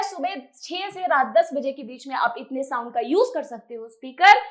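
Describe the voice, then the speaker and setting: High-pitched Indian woman teaching. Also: a bit bright. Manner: emphatic, conversational, asks rhetorical questions and answers them. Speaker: teacher, exam coaching presenter. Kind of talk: explanatory lecture mixing Hindi and English.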